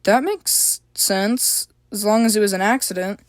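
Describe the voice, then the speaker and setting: A woman voicing a curious, easygoing young man who speaks slowly and quietly. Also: medium pitch, no echo, clean studio sound, natural voice.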